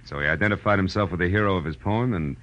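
A man's voice: low voice, rapid-fire, a bit bright, slight background noise. An actor playing a world-weary, wry male private detective in a 1940s radio drama, speaking laconically and narrating his case. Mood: wry, explaining. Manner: laconic, wry, tender with women. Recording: muffled vintage radio recording with music.

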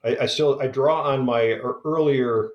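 Male academic guest, halting delivery, reverberant room. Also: medium pitch, lo-fi sound. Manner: halting, many restarts and fillers. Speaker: guest scholar. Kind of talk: hesitant conversational interview.

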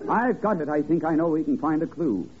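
An actor playing a bumbling, self-important detective in a 1950s radio comedy, formal and mock-solemn. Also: male voice, on the high side, expressive, a little fast, lightly acted. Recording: crackly old radio broadcast, narrow band, studio audience.

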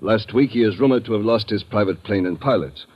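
A muffled old radio recording presents a male actor as an authoritative colonel. His low voice is formal and stiff, with an officious military bearing. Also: a little fast, natural voice. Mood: stern, official. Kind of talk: 1940s-50s radio drama acting.

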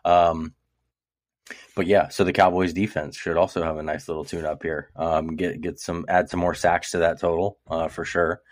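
Deep-voiced man chatting football. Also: moderate pace, dry recording, clean studio sound. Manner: relaxed, conversational, lots of fillers. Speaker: podcast co-host. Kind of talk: casual sports talk.

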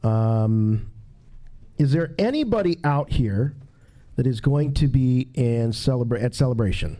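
A man's voice: medium pitch, lively intonation, measured pace, dark tone. A man hosting live trivia informally, explaining the game and addressing an audience. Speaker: live show quizmaster.

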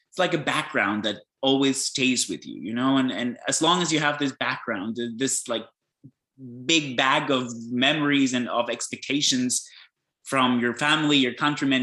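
A man from Peru talks things through slowly and thoughtfully, stopping and restarting often. His voice is bright, and the room around him rings with a noticeable echo. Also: medium pitch. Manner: reflective, hesitant with many fillers and restarts. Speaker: podcast guest. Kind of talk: casual conversation.

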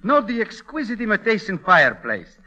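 High-pitched, grandiloquent pushy landlord. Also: male voice, wildly swooping pitch, normal speed, bright, forceful tone, heavily acted. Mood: grandly persuasive. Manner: florid, salesman-like patter. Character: pushy, grandiloquent male landlord talking up a shabby basement flat. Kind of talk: 1940s radio comedy acting. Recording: vintage radio broadcast recording.

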